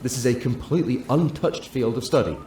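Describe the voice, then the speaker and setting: An actor playing an earnest, curious male scientist on a starship, speaking in formal, academic language with a husky voice. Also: mid-range voice, warm tone, slight background noise, natural voice. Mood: reluctant, protesting. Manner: formal, academic, measured wording. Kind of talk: sci-fi audio drama acting.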